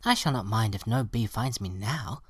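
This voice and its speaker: A woman voicing a gentle, patient, cheerful little violet flower in a children's story, soothing and optimistic. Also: rumbling low, expressive, moderate pace, slightly rough, dry recording, clean studio sound, heavily acted. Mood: contented.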